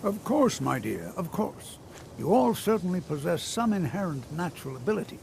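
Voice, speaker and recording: A man's voice: high-pitched, sing-song, moderate pace, creaky, a little mellow, a little hiss or hum, heavily acted. An actor playing a kindly elderly wizard teacher in a video game, patient, cautious and courteous as he instructs. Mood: patient, cautioning. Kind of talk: video game character dialogue.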